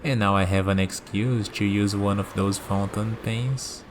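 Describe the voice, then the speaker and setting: Guy doing a calm curious villain, asmr rp, really slow dry musing delivery, noticeable noise in the background. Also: fairly low pitch, natural voice. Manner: musing, dryly teasing, unhurried. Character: calm, curious young male villain bargaining with a death god. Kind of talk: scripted ASMR roleplay.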